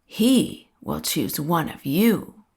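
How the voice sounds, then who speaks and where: A woman voicing a male train conductor in a storybook, a knowing guide announcing things to children in a measured way. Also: low voice, animated, gravelly, darkish tone, breathy edge, voice pushed down, heavily acted.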